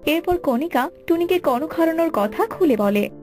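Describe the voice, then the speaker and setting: A woman with a high voice narrates a children's story from a script. Soft music runs behind her narration. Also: expressive, moderate pace.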